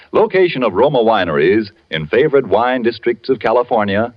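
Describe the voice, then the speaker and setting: Male 1940s commercial announcer: low, emphatic, polished sales read on muffled vintage radio. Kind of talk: 1940s radio advertising pitch, scripted.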